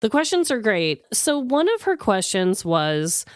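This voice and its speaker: A woman's voice: mid-range voice, very animated, measured pace, smooth, no echo, studio quality. A woman co-hosting a podcast in casual, candid chat, emphatic and slangy, with some swearing. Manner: candid, emphatic, profane, talking in slangy asides. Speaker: podcast co-host.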